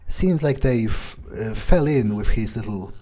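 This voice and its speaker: A man's voice: on the low side, expressive, unhurried, dark tone. A man playing a cocky, crude vampire who relishes violence, with a hesitant, swearing drawl, in a roleplayed video game. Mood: blunt, scornful.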